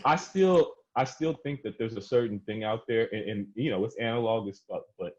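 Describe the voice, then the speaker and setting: Male podcast host, low register, conversational and sweary, leading an informal panel on a muffled lo-fi recording. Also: brisk, darkish tone. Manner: profane, slangy, conversational. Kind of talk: casual podcast round-table discussion of music.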